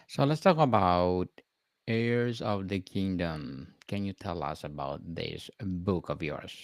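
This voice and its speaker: A man's voice: low voice, takes it slowly, no room sound, clean studio sound. A man hosting a book-review podcast, asking formal prepared questions of his guest. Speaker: podcast host. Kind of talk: scripted interview questions.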